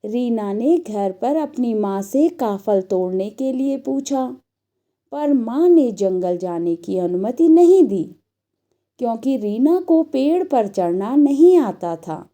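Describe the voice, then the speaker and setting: A woman speaks as a teacher telling stories to children. Her voice is high and lively in pitch, moving slowly through each phrase. She is deliberate and instructional, addressing the young listeners directly. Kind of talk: slow scripted storytelling lesson for children.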